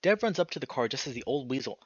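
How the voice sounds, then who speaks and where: A man narrating a visual novel aloud as a let's player, dramatic and expressive, swearing freely in casual reactions. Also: medium pitch, fast, husky, no echo, highs cut off. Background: music.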